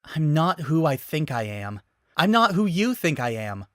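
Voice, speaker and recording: A man voicing a hardened, conflicted female bounty hunter with a terse, forceful delivery in a dramatic story reading. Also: a little high, very animated, measured pace, no echo, clean studio sound, pitched above the performer's own voice. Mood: angry, anguished. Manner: terse, forceful, spitting out words.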